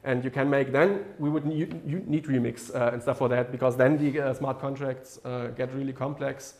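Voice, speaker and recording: A man's voice: mid-range voice, steady tone, moderate pace, a little mellow. A man in his thirties presents a technical idea to a meetup audience in a lecture room. He talks in a mid-range voice, stopping often on "um" and "uh" and starting his phrases over. He is seated at a laptop in front of a projector screen. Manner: hesitant, many fillers and restarts. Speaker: meetup speaker.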